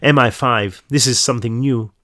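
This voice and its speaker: A man's voice: medium pitch, normal speed, sharp tone, lightly acted. A man voicing a grave, shaken chief pathologist in a crime story, with an attempted Swedish accent and a hesitant manner. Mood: uneasy, grave.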